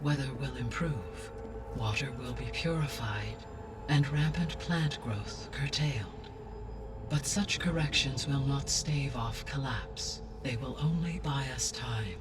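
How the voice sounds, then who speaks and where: A calm, learned male AI from a video game voiced by an actor, set back from the microphone with music playing behind. He speaks formally and precisely, a benevolent guardian weighing every word. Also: medium pitch, distant mic, natural voice. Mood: solemn, urgent. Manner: measured, precise, formal diction. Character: calm, benevolent, erudite male artificial intelligence guarding life on Earth. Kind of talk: scripted video game dialogue.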